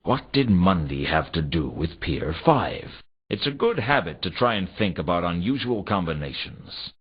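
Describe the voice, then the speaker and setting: Low, deadpan noir private eye. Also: male voice, normal speed, husky, heavily acted. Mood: musing, deadpan. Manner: dry, deadpan, wry. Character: world-weary, suspicious, sardonic male noir private detective. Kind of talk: hardboiled noir game narration. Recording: slightly dull, compressed console game audio.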